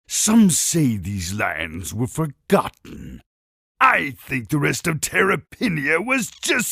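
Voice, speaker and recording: An actor playing a boastful, belligerent Oni warrior creature in a video game, with a rough, gravelly voice. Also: male voice, fairly high pitch, sharp tone, no room sound, studio quality, heavily acted. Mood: gruff, wary. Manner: bragging, belligerent, blustering. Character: boastful, brutish Oni warrior creature, self-proclaimed champion. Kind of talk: video game character voice acting.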